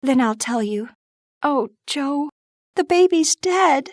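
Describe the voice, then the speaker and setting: A woman voicing a gentle, shy young girl who speaks softly and anxiously, in a storybook reading. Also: expressive, slow, no echo, clean studio sound. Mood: urgent. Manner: soft, anxious, higher-pitched.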